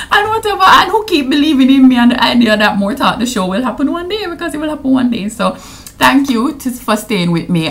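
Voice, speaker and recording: A woman in her thirties with a Jamaican accent, chatting to camera in a playful vlog and slipping into patois. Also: medium pitch. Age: 30s. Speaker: vlogger. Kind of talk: casual anniversary vlog to camera.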